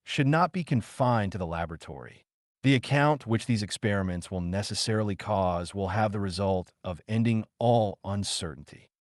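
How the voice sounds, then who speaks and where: A man's voice: on the low side, normal speed, no echo, clean studio sound, close to an everyday voice. A man reading the quoted words of a scornful, pompous veterinarian in a biography audiobook, with a mocking, grandiose manner. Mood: challenging. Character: scornful, pompous male veterinarian and magazine editor.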